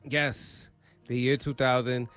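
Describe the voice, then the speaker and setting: A man's voice: medium pitch, little pitch movement, slow, a bit bright, soft background music. A man hosting a radio show, talking casually and rambling a bit with fillers. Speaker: radio host.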